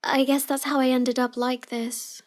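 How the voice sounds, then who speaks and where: Woman acting as a shy sweet girl villainess, really high voice, slow n halting, intimate roleplay audio. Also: level tone. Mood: sweet, guarded. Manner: halting, apologetic, soft-spoken and sweet. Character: shy, tearful young woman villainess feigning helplessness.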